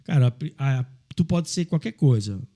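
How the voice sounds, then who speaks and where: An Italian man of middle age, a restaurant owner telling his story in a casual chat, animated and laughing as he talks. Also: mid-range voice, moderate pace. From Italy.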